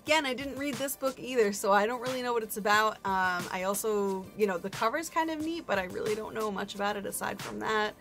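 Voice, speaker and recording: A woman with a mid-pitched voice chats casually as a solo book review host. She speaks informally, tossing in slangy, self-deprecating asides. Faint music plays behind her. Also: lively intonation, brisk, hardly any pauses, fairly bright tone.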